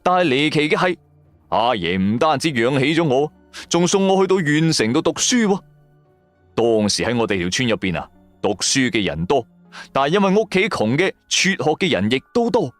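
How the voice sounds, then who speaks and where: A man's voice: mid-range voice, big pitch swings, normal speed, no room sound. A man with a Hong Kong Cantonese accent narrating a first-person story for a radio drama in a colloquial storytelling manner. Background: music.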